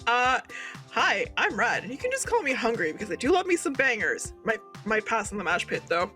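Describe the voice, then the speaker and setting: A man voicing a goofy, high-pitched punk fan in a squeaky falsetto. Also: huge pitch swings, a little fast, bright, forceful tone, faint music behind, heavily acted.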